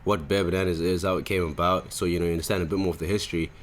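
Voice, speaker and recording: Deep-voiced young man. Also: steady tone, rapid-fire. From Zimbabwe. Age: 20s. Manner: rambling, slangy. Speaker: podcast host. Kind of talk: casual chat.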